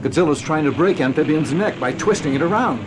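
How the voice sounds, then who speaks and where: A man playing a calm army captain describing monsters on a beach in a 1970s audio drama. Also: a little high, brisk, close to an everyday voice. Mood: steady. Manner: steady, commanding narration of events. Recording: noisy 1970s record recording.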